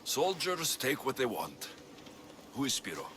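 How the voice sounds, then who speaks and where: A man's voice: mid-range voice, wildly swooping pitch, unhurried, pushed and bright, slight background noise, full character voice. A man acting a capable, sardonic Greek mercenary in a video game cutscene, with a dry delivery. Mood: probing. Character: wry, capable male Greek mercenary for hire.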